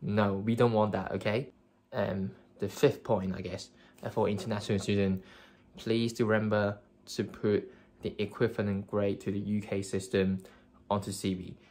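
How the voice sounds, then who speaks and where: Low flat-voiced young man. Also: moderate pace. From Hong Kong. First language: Cantonese. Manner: earnest, many fillers and restarts. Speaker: career advice vlogger. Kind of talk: casual advice talk to camera.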